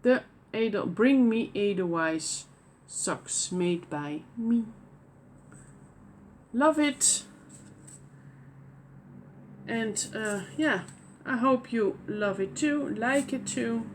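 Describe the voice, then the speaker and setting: A woman with a Dutch accent speaks English as a second language, talking very slowly and carefully. She fills her pauses with "uh" and "um" and restarts her sentences as she shows her knitting. Faint background noise sits under her voice. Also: a little high, lively intonation. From Netherlands. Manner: hesitant, many fillers and restarts. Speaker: knitting podcast host. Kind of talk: casual show-and-tell chat.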